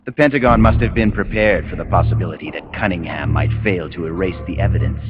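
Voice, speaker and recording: An actor with an American accent playing a calm, messianic military commander villain in a video game, speaking in solemn, grandiose, deliberate speeches. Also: male voice, deep voice. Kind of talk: dramatic video game cutscene monologue. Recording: muffled game audio under loud music.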